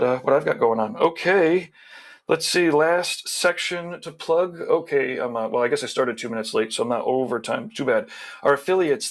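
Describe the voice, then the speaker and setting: A man's voice: mid-range voice, a bit bright. A man on a video call who runs the show, reading out sponsor plugs in a rambling, casual way with many restarts. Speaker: show organizer.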